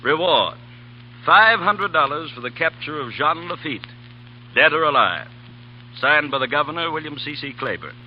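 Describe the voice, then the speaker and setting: A man's voice: on the high side, expressive, moderate pace, a little hiss or hum, close to an everyday voice. Man acting a formal, businesslike senator. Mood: smooth, discreet. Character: smooth, businesslike state senator, adult man. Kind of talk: 1930s radio acting. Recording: dull 1938 radio broadcast, music behind.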